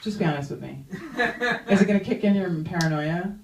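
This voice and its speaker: A woman's voice: on the low side, brisk, vocal fry, darkish tone, echoey room, distant mic, slight background noise, rough recording. Chatty self-mocking woman comedian. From Iranian-American. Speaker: stand-up comedian. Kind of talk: stand-up comedy set. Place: comedy club stage.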